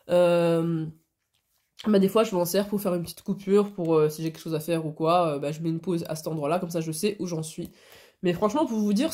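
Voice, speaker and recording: A woman's voice: on the low side, dark tone. A woman with a cold and a blocked nose, chatting casually in a hobby vlog, with many hesitations and restarts. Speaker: hobby vlogger. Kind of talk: casual chat.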